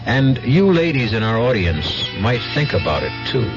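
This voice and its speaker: A man's voice: mid-range voice, big pitch swings, moderate pace. A man hosting a 1970s radio drama, addressing listeners in wry, scripted narration. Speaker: host. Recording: muffled vintage radio broadcast. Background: music.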